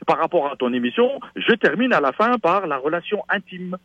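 A man's voice: sits high, sing-song, brisk, slightly rough, dry recording. A man, a stage actor, chatting enthusiastically as a guest in a radio interview. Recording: dull lo-fi radio sound.

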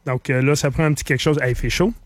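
A man's voice: mid-range voice, rapid-fire, dry recording. A middle-aged man whose first language is English, with a slight English accent, chatting casually on the radio as a beer columnist and explaining things in detail. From English-speaking.

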